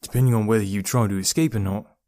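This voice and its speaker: A man's voice: fairly low pitch, steady tone, fast talker, darkish tone, no room sound, studio quality, lightly acted. A man voicing a mischievous, chatty young boy in an audiobook, with a teasing, breezy, wry manner. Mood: wry, boastful. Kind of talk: audiobook character voice.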